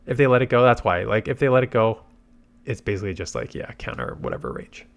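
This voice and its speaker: A man's voice: lowish voice, big pitch swings, fast, creaky. A man congested with a head cold gives casual gameplay commentary, thinking aloud through his tactics. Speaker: game commentator and video host.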